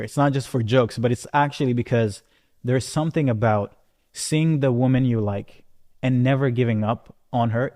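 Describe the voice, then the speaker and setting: A man's voice: medium pitch, a little mellow. A man from Rwanda who moved to Canada as a teenager, an entrepreneur giving a conversational, emphatic motivational talk that often checks in with the listener. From born in Rwanda, moved to Canada at thirteen. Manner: conversational, emphatic, punctuated with check-in questions like "right?". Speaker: entrepreneur presenting. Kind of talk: motivational life-story talk to camera. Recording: long hall-like reverb.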